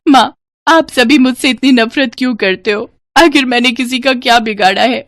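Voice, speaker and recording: Woman voicing a meek, pleading, high-pitched young woman. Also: moderate pace, a bit bright, no room sound, studio quality. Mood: hurt, distressed. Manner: plaintive, pleading. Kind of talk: cartoon moral story voicing.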